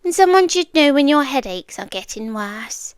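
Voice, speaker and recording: A British woman playing an obsessive, eerily calm stalker girlfriend in an intimate ASMR roleplay, speaking in measured, deliberate phrases that often slip into whispers, close to the mic. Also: sits high, no room sound. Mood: calm, unsettling. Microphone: close dry microphone.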